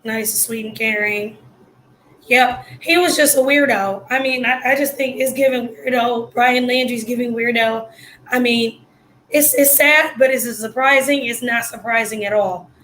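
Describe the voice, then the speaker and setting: A hoarse woman giving casual, blunt live reaction commentary full of slang. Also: on the high side, fairly even intonation, measured pace, fairly bright tone, a little hiss or hum, rough recording. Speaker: livestream commentator.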